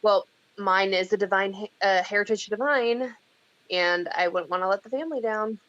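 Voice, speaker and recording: A woman's voice: mid-range voice, fairly even intonation, normal speed, fairly bright tone, highs cut off, natural voice. A woman reading the part of a skeptical, reluctant heroine with a dry manner in a film script read-through. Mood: dry, resigned.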